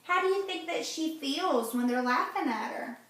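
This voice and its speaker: High lively lady reading a kids book slow, gentle teacher vibe, lots of echo, mic far. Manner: gentle, asks children questions, teacherly. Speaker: read-aloud host for children. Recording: very echoey room, off-mic.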